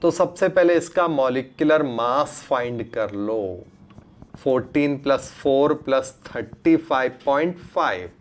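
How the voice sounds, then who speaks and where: A man's voice: medium pitch, expressive, unhurried. A man with an Indian accent teaching a live online chemistry class, informal, mixing in English terms and often checking that students follow with short questions. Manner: mixes English terms into Hindi.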